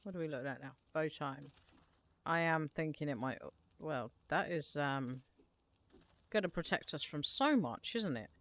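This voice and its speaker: A woman's voice: deep voice, lively intonation, a little slow, a bit bright. A woman giving casual, chatty, self-mocking commentary while she plays a game. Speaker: gaming streamer. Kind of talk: casual gameplay commentary with read-aloud game dialogue. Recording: music behind the voice. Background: music.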